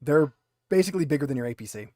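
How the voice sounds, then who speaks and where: Man, game master, slightly high voice, casual, explanatory, halting, close dry sound. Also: lively intonation, mellow, dry recording. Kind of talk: narrating a tabletop roleplaying game, casual.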